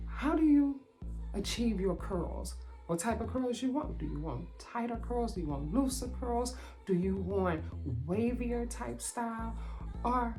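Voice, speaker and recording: A woman's voice: fairly low pitch, darkish tone. A woman hosting a beauty vlog, talking in a chatty way with a nasal, stuffy voice from a cold, and repeating phrases for emphasis. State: nasal from a cold, sore throat. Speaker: beauty vlogger. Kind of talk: casual hair vlog chat.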